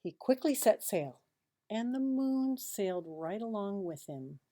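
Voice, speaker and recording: Mellow-voiced woman reading a storybook aloud. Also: medium pitch, a little hiss or hum. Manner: expressive, dramatic pauses and hushed asides. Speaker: teacher reading a picture book aloud. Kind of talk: children's storybook read-aloud with asides.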